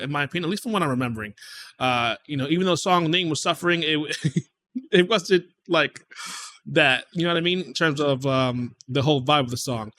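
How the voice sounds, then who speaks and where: A man hosting a reaction video, commenting casually on music and thinking aloud in halting fragments. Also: mid-range voice, bright, forceful tone.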